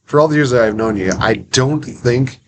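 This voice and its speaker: A man's voice: medium pitch, moderate pace. A man hosting an interview, chatting in a sympathetic, conversational way. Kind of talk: casual interview talk. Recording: clear background noise.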